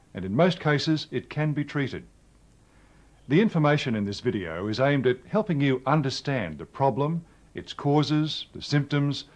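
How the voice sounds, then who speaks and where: An Australian man delivers scripted educational narration as a presenter. His mid-pitched voice moves with lively intonation while staying measured and explanatory.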